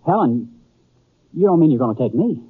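A man's voice: mid-range voice, big pitch swings, a little fast, breathy edge. An American actor playing an earnest, self-deprecating small-town newspaperman in a 1950s radio western, plain-spoken and downcast. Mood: astonished. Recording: muffled, narrow-band 1950s radio recording.

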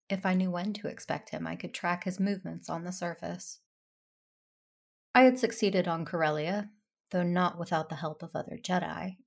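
An actress playing a methodical, self-assured Jedi operative, narrating in first person in a matter-of-fact, controlled way with some creak. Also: female voice, lowish voice.

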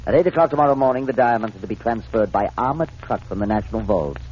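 An actor in a 1940s radio drama playing a cold-blooded crime boss with fussy, formal, hesitant diction. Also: male voice, lowish voice, animated, fast talker, darkish tone, breathy edge. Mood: businesslike, grandiose. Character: pompous, fussy, cold-blooded criminal mastermind. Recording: muffled, noisy old 1940s radio recording.

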